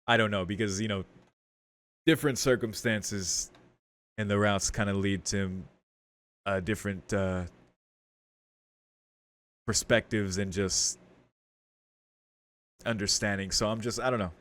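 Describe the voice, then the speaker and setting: A man's voice: lowish voice, steady tone, measured pace, pauses often, a bit bright, no echo. A man doing a casual game playthrough, reading text aloud and commenting, with game music underneath. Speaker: game streamer.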